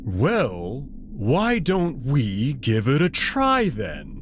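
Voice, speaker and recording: A man voicing a friendly, clumsy male alien wolf in a visual novel, nervous and halting in his slow speech. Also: mid-range voice, huge pitch swings, a little hiss or hum, full character voice. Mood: nervous, inviting.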